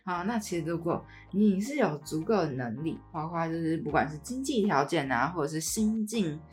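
Low-voiced Taiwanese Mandarin woman podcasting. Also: animated, moderate pace, darkish tone, faint music behind. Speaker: podcast co-host. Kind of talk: conversational podcast discussion.

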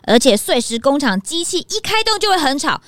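A woman's voice: high voice, sing-song, brisk, no echo. A woman playing a chirpy, inquisitive young detective's sidekick, chatty and cheeky, in a children's audio drama. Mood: puzzled, curious.

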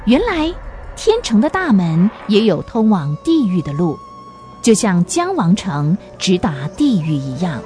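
A woman's voice: mid-range voice, big pitch swings, a little slow, warm tone, faint music behind. A woman narrating a radio drama as a storyteller, reading from a script.

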